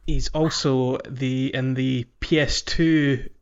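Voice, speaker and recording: A man's voice: medium pitch, fairly even intonation, slow, clean tone. A man co-hosting a podcast, talking casually about films and searching for his words as he goes. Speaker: podcast co-host. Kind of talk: casual film discussion. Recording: slightly dull, muffled audio.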